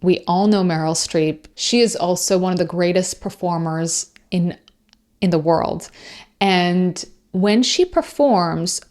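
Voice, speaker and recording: Woman hosting, persian her first language, talks real slow and deliberate, lots of pauses. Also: fairly low pitch, darkish tone. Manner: measured and deliberate, with long pauses. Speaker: host. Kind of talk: slow reflective monologue.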